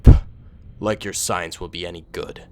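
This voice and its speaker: A man voicing a cold, irritated dark warlord in a fan space story, muttering his threats flatly. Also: moderate pace, over loud music. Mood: irritated, menacing. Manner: low, flat, grumbling threats. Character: cold, menacing male Sith warlord, weary and irritated.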